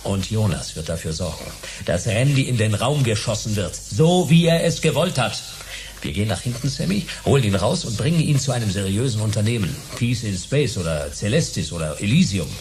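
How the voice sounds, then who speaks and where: A man's voice: fairly low pitch, sing-song, fairly quick, a little mellow, a little hiss or hum. A man playing a terse, dry, stubborn private detective in a German sci-fi radio play. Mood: determined.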